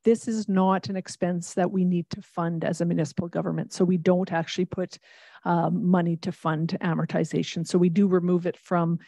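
A woman on municipal finance staff giving a formal budget presentation to council, measured and explanatory as she reads out long figures. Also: lowish voice, flat delivery, creaky, dark tone, no echo. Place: large council chamber.

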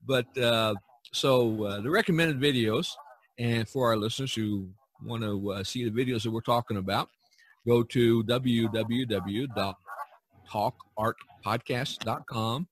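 A man hosting a casual podcast over a rough internet-call connection. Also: mid-range voice. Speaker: podcast host. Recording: poor internet-call audio, faint music.

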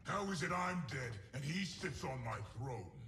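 An actor voicing a brutal, wrathful warlord emperor in a video game, haughty and commanding. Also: male voice, mid-range voice, very animated, unhurried, slight background noise, heavily acted. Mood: haughty, suspicious. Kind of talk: dramatic video game voice acting. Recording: echoey, distant, loud music behind.

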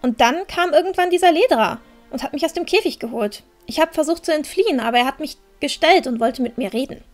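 A high-voiced young adventurer girl, played by a woman in a fantasy radio play. She is earnest and practical, pleading her case as she explains, over faint music. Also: lively intonation, quick pace, hardly any pauses. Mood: earnest, urgent. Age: young adult. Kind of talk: fan-made fantasy audio drama acting.

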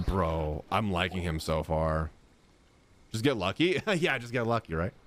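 A man's voice: low voice, animated, measured pace, a bit bright, a little hiss or hum. A man in his thirties giving casual, slangy live reactions as a game streamer, close on a desk microphone. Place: small indoor gaming room. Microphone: close desk microphone, headset on. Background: game music.

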